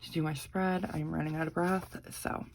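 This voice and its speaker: A woman's voice: sits low, flat delivery, normal speed, gravelly, slight background noise, rough recording. A young woman, sick and congested, chatting casually with lots of fillers while doing a planner vlog. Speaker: planner vlogger. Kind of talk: casual chat.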